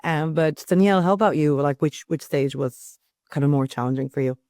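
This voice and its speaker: A woman's voice: low voice, brisk, dark tone, no room sound, clean studio sound. A woman hosting an unscripted panel chat, conversational and inquisitive as she addresses guests and viewers. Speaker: host, panel moderator. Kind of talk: unscripted moderating of a fireside chat.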